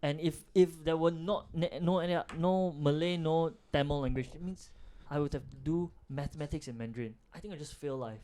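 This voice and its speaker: A man's voice: a little high, fairly quick, few pauses, mellow, slight background noise. A man co-hosting a podcast, chatting casually in a rambling, self-mocking way. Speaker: podcast co-host. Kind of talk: casual chat.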